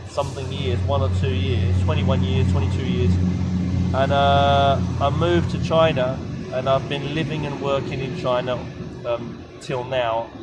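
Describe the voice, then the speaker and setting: A man, talking in a halting vlog monologue full of fillers and restarts. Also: mid-range voice, little pitch movement, over loud music. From London, England. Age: 30s. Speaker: vlogger.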